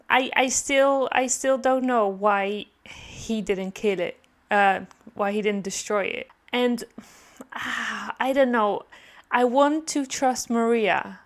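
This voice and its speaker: A woman giving casual reaction commentary on a game, musing aloud hesitantly and often repeating herself. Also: a little high. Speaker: gaming reaction video creator.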